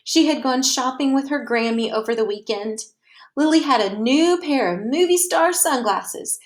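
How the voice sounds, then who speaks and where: A woman narrates a children's picture book in a fairly high voice. She takes her time, reading slowly and deliberately. Key words are stretched and stressed in an expressive storytelling style. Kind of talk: expressive read-aloud narration.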